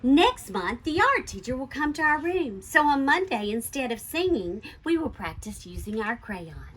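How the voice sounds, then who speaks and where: A woman's voice: high voice, very animated, normal speed, fairly bright tone, slightly rough, exaggerated voice. A woman voicing a strict first grade teacher from a children's storybook, firm and emphatic as she instructs the class. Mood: brisk announcing.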